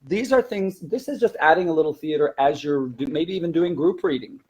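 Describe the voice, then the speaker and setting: A man's voice: on the high side, brisk, darkish tone. A man who teaches languages, presenting practical classroom advice in an informal, conversational way.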